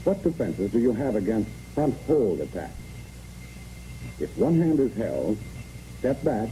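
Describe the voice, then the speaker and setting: A man's voice: medium pitch, mellow. An American man reads a scripted 1960s military instructional narration in clipped, step-by-step commands. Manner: clipped, imperative, step-by-step delivery. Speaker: training film narrator. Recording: noisy, muffled 1960s training film soundtrack.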